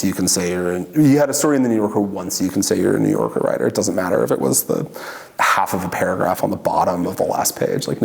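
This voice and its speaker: A man's voice: fairly low pitch, creaky, darkish tone. A man in an oral history interview, talking casually and rambling with many fillers and restarts. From Washington state.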